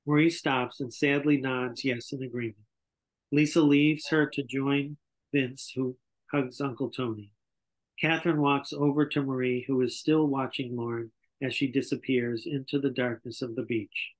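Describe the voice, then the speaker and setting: A man's voice: mid-range voice, steady tone, moderate pace, poor audio. An older man reading scripted stage directions as narrator at a table read over a video call. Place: indoor room on a video call. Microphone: video call microphone.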